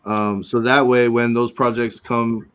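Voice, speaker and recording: A forty-year-old man hosts a relaxed live call, his talk loose and rambling, full of "right?" and "man". His pitch sits in the middle, and the audio sounds a little dull and lo-fi. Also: measured pace, clear, resonant tone. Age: 40s. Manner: laid-back, rambling, says "right?" and "man" often. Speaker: host. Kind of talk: casual chat.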